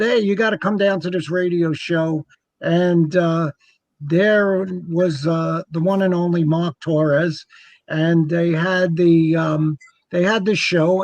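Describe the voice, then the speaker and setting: A man's voice: sits high, no room sound. A man from New York, a comic radio co-host, telling rambling, joking anecdotes in casual chat. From New York, United States.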